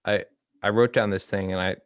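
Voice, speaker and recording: A young man co-hosting a casual discussion, talking in a hesitant, halting way. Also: lowish voice, steady tone, clean tone, no echo, studio quality. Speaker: co-host of a reading discussion.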